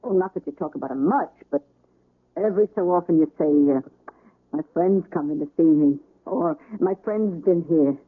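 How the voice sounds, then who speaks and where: Chatty nurse, tinny radio sound. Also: female voice, low voice, moderate pace, slightly rough. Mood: curious, gossipy. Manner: casual, gossipy, easygoing. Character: chatty, curious, frank female hospital nurse. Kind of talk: 1970s radio drama acting. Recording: tinny old radio broadcast recording.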